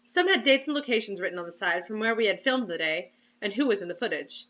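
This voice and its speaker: A woman's voice: medium pitch, expressive, fast talker, a bit bright, highs cut off. A woman reading a story aloud as host in a playful, theatrical, mocking way, breaking into casual comic asides. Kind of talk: comedic dramatic reading with casual commentary.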